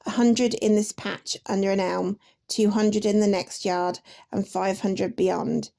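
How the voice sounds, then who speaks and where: A woman reading literary excerpts aloud as a narrator, in a measured, lyrical way. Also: mid-range voice, steady tone, gravelly, dark tone. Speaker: reader of book excerpts.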